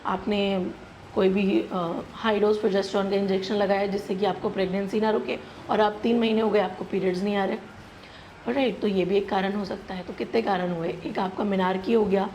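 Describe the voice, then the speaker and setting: Indian female doctor-presenter, mid-pitched, instructive and direct, code-mixing English; slight background noise. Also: flat delivery, a little fast, darkish tone, lo-fi sound. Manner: instructive, direct address, English terms and tags like "alright" mixed in. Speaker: gynecologist presenting a health channel. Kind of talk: explanatory medical advice to camera.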